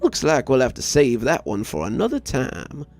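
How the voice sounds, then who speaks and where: A man plays a folksy old grandpa from an audio story, gruff and plainspoken as he reminisces about trucking days. The voice is gravelly and sits at a middling pitch, with music underneath. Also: huge pitch swings, moderate pace, a little mellow. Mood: gruff, wry.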